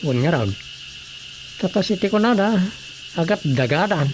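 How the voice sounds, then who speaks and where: A man's voice: high voice, expressive, moderate pace, a little hiss or hum. An actor with an Ilocano accent playing a matter-of-fact man explaining plans in a radio drama comedy.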